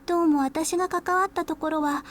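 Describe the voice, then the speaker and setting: A woman playing a cheerful, eccentric, teasing high school girl in a Japanese visual novel, speaking politely. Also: steady tone, very fast. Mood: calm, unsettling.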